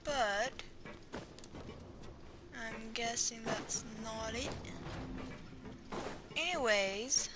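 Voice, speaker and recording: A woman's voice: on the high side, extremely slow, long pauses, noisy background. A woman doing casual video game commentary, thinking aloud in an informal way as she plays. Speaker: gaming streamer. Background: video game music.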